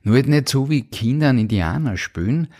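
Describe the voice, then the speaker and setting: A man's voice: mid-range voice, lively intonation, fairly quick, a little mellow. A middle-aged man with an Austrian accent, casually telling stories from his own life in an interview. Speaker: interview guest. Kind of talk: casual autobiographical storytelling.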